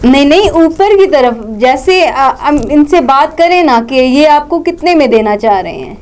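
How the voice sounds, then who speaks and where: A young woman with a Pakistani accent and a high voice, hosting a puppet comedy. She mediates politely, in the manner of a studio presenter. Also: lively intonation, moderate pace, darkish tone. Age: young adult. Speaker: host. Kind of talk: hosting a comic puppet show.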